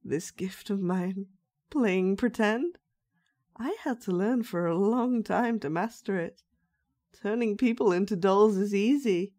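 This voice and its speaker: Creepy soft dollmaker lady woman acting it out, slow n mellow, sweetly menacing audio roleplay, talks down to you gently. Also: mid-range voice, close to an everyday voice. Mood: wistful, eerie. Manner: slow, coaxing, condescendingly gentle. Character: sinister soft-spoken dollmaker with magic powers, sweetly menacing. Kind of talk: scripted roleplay monologue addressed to the listener.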